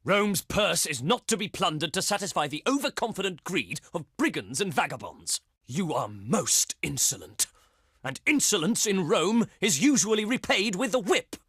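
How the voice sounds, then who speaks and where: Pompous, high-pitched Roman diplomat. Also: male voice, expressive, moderate pace, fairly bright tone, slightly rough, dry recording, clean studio sound, exaggerated voice. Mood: scornful, outraged. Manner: pompous, declamatory, oratorical. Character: haughty, contemptuous Roman senate diplomat, pompous adult man. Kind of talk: theatrical strategy game character voice lines.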